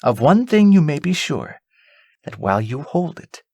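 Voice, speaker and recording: A man voicing a very old, kindly lady in a storybook, giving instructions in a solemn, measured way. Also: fairly high pitch, very animated, gravelly, darkish tone, dry recording, studio quality, pitched above the performer's own voice, heavily acted.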